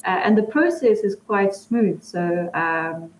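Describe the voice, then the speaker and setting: A South African-born woman, an election observer, calmly and clearly describing her day over a remote video call. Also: medium pitch, takes it slowly, roomy sound, a little hiss or hum, poor audio. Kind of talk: remote interview. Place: room in Switzerland.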